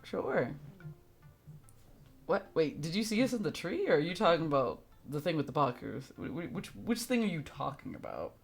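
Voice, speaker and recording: A woman with a low voice that jumps around in pitch, streaming a game. Her commentary is casual, blunt and reactive, with music in the background. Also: big pitch swings, moderate pace, gravelly, husky. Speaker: video game live streamer.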